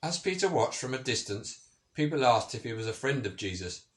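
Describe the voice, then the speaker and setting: A man reading a children's Bible story aloud as scripted narration in a measured manner. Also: mid-range voice, sing-song. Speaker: story reader.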